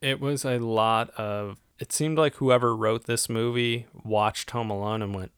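A man's voice: medium pitch, steady tone, a little slow, a bit bright, smooth, dry recording, clean studio sound. A man co-hosting a movie podcast, recapping a film in a casual, jokey and informal way.

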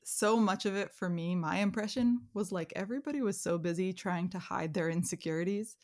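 A woman speaks in an earnest, reflective manner on a casual podcast, often poking fun at herself. Her voice sits a little low for a woman. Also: a little fast. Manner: earnest, self-deprecating, reflective. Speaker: podcast co-host.

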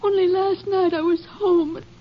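High-voiced, sobbing bride-to-be. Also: female voice, level tone, normal speed. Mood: sobbing, distraught. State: crying. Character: grief-stricken, fragile bride-to-be, female. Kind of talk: 1950s radio melodrama acting. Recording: muffled old radio recording.

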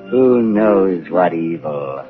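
A man's voice: fairly low pitch, gravelly, exaggerated voice. An actor playing a sinister, mocking avenger in a 1930s radio drama, drawing out his words ominously. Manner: slow, drawn-out delivery with sinister laughter. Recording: 1930s radio, muffled, music behind.

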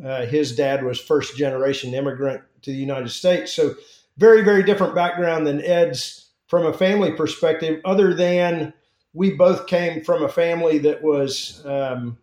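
A man's voice: a little high, fairly even intonation, moderate pace, a little mellow, reverberant. A man, a former Marine guest on a podcast, speaking easygoing and self-deprecating, with many fillers. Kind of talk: conversational interview answers.

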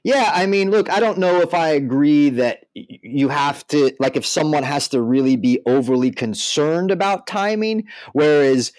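A man's voice: a little high, moderate pace, few pauses, darkish tone, dry recording, poor audio. A man co-hosting a podcast, moving between reading aloud and casual commentary. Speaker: podcast co-host.

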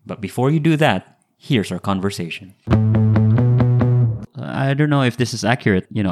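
Lively Filipino man hosting a podcast. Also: on the low side. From Philippines (Cebu). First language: Bisaya. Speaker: podcast host. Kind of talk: storytelling intro then casual chat.

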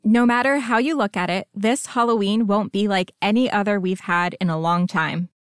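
A woman's voice: mid-range voice, moderate pace, clear, resonant tone, dry recording, clean studio sound. A woman reading a scripted introduction as a podcast narrator.

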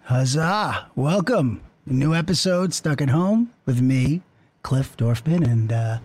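A man co-hosting a podcast in casual, joking banter, often jumping in with quick remarks. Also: medium pitch, animated, a little mellow, slightly rough. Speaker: podcast co-host.

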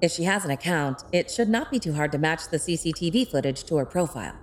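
Woman as lowish-voiced sassy hacker sidekick. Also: expressive, fast talker. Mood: blunt, questioning. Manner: casual, wry, blunt. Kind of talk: audio drama acting.

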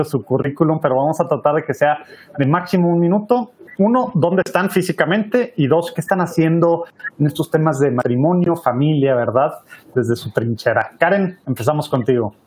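A man's voice: fairly high pitch, a little mellow. Chatty man hosting a video-call panel. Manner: chatty, colloquial, many fillers. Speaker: panel host. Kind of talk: casual moderating and prayer.

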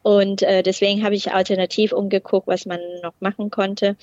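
A woman's voice: medium pitch, flat delivery, normal speed, a little mellow, no room sound. Middle-aged woman, interview guest; hesitant and full of fillers, dry close sound, slightly muffled. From Thailand. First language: Thai. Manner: hesitant, many fillers and restarts. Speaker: guest, port process manager. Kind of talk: interview answers.

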